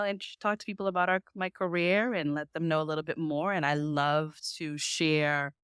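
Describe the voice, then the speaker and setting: A woman in her forties from the Bahamas with a Bahamian accent, a podcast guest telling her story in a lively way and laughing often. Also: low voice, clear, resonant tone, no room sound, clean studio sound. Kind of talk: casual interview chat.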